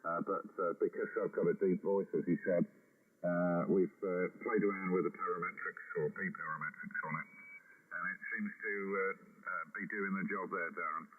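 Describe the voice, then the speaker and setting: A man with a very deep voice on a ham radio contact, talking unhurried with many fillers through a headset mic. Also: fairly even intonation. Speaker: ham radio operator calling in. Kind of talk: casual radio contact chat. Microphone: headset microphone. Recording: tinny radio audio.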